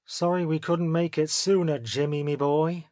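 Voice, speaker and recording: A woman's voice: low voice, steady tone, normal speed, mellow, pitched below the performer's own voice, natural voice. A woman voicing a genial, chummy barkeeper, a man with a hearty, familiar manner, in an audiobook story.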